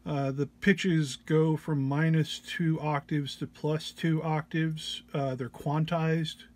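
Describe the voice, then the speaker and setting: A man who is under the weather gives an informal tutorial walk-through, explaining haltingly and hesitantly. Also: a little high, steady tone, darkish tone. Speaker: presenter of a gear walk-through.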